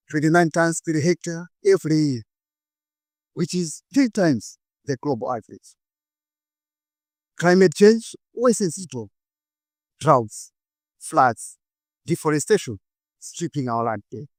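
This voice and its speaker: Young Malawian man, stage speaker, Malawian English accent, fairly high voice, dry close sound, paced with dramatic pauses. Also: expressive, darkish tone, dry recording. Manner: dramatic pauses, lines broken into short phrases. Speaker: speaker giving a stage talk. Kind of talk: rehearsed inspirational talk.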